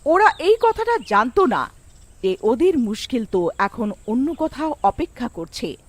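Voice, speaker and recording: Lady narrating a spooky cartoon tale, high voice, very lively. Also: measured pace, no echo. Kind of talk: scripted cartoon horror story narration.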